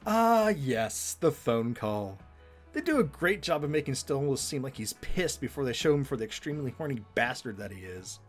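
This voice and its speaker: A man's voice: medium pitch, sing-song, normal speed, soft background music. A man giving scripted back-and-forth commentary as co-host of a fan show.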